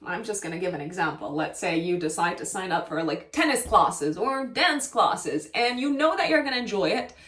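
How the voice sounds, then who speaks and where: A woman's voice: on the low side, animated, moderate pace, few pauses, fairly bright tone. Woman with a Romanian accent, astrologer, hesitant and thoughtful, echoey room, a little far from the mic. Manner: thoughtful, hedging, many fillers and restarts. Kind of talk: horoscope reading to camera.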